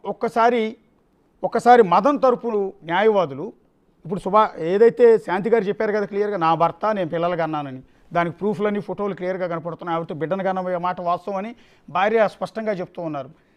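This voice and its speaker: High-pitched man anchoring live news. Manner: emphatic, repeats phrases for effect. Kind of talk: live news commentary, extempore.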